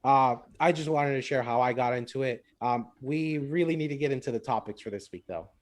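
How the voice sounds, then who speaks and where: A man's voice: medium pitch, brisk, crowd noise. Rambling man casually co-hosting a podcast. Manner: rambling, repeats words, mixes in Spanish phrases. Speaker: podcast co-host. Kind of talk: casual chat.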